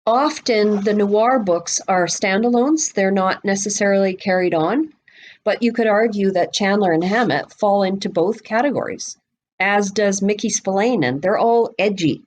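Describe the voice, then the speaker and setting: A woman's voice: mid-range voice, normal speed. Woman explaining conversationally, expansive and informed. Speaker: guest expert on a book podcast. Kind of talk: conversational explanation of literary history.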